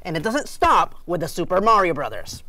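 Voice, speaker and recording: A man's voice: high voice, wildly swooping pitch, moderate pace, heavy background noise. A man hosting a comedic scripted rant as a video game movie reviewer, exasperated, emphatic and sarcastic.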